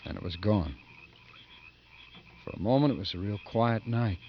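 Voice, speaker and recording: A man's voice: lowish voice, normal speed, lightly acted. Give me a man playing a hard-boiled convict, muffled old radio. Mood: somber narration. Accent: American. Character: tough, defiant male prison trustee who narrates his story. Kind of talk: 1950s American radio suspense acting. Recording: muffled, noisy 1950s radio recording.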